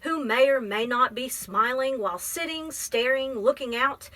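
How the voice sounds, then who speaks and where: A woman's voice: high-pitched, normal speed, sharp tone. A woman library teacher reading a picture book aloud, explaining things simply and prompting with questions.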